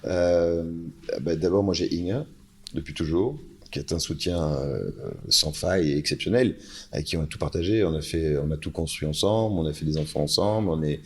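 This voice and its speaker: A man's voice: extremely low pitch, normal speed, husky. A man, a brand founder, speaking as a guest on a panel and often repeating words while he searches for them. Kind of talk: panel conversation. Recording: live event with a panel.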